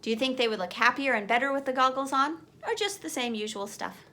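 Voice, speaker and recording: A woman's voice: high-pitched, expressive, quick pace, bright, forceful tone, a little hiss or hum. A woman teacher reading a children's picture book aloud, expressively, as if putting questions to young listeners.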